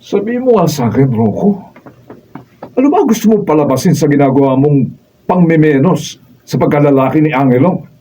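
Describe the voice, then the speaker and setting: A Filipino man acting a level-headed, reproachful man with a husky voice in a radio drama. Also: fairly high pitch, lively intonation, moderate pace, long pauses, lightly acted, rough recording.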